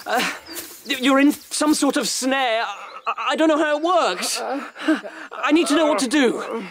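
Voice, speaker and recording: A man's voice: extremely high pitch, unhurried, over a noisy crowd. A British man playing an anxious, caring young rabbit leader in a radio drama, urgent and commanding. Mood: urgent, alarmed.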